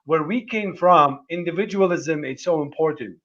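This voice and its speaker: A man hosting a livestream conversation, talking haltingly with many restarts as he searches for words. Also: fairly high pitch, a bit bright, highs cut off. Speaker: host.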